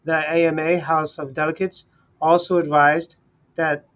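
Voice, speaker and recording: A man's voice: on the high side, little pitch movement, a little slow, fairly bright tone, highs cut off. A man hosting a livestream read-along, speaking in an informal, unpolished amateur way. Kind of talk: casual livestream talk and reading articles aloud.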